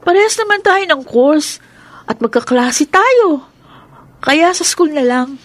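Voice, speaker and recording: A woman's voice: high voice, lively intonation, takes it slowly. An actress playing a sassy, teasing female college student in a radio drama. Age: young adult. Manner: teasing, bossy, playful.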